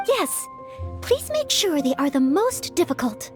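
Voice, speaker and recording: A woman's voice: very high voice, very animated, unhurried, music in the background, exaggerated voice. A woman voicing an earnest, polite young maid who aspires to be a knight in a video game. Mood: eager, polite. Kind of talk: video game character acting.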